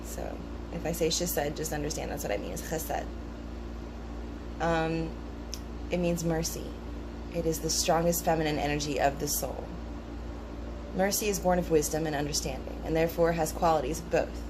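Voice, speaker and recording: A relaxed woman with a lowish voice talks through a reading in a loose, thoughtful way, pausing often with ums. An air-conditioner hum sits clearly behind her. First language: English. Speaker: vlogger discussing esoteric teachings. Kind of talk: casual rambling talk mixed with reading aloud. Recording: noticeable background hum.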